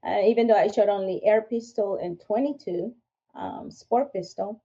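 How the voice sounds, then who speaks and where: A woman's voice: medium pitch, moderate pace, mellow, breathy edge. A woman from Venezuela with a Venezuelan Spanish accent, a podcast guest telling her personal story earnestly, with frequent restarts. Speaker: podcast guest, firearms instructor. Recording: dull lo-fi sound.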